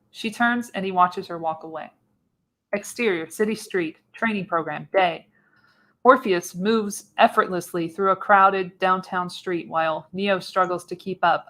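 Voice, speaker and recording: A woman's voice: on the low side, a bit bright, rough recording. A woman reads scene directions as narrator of a radio play, in scripted narration with an occasional stumble.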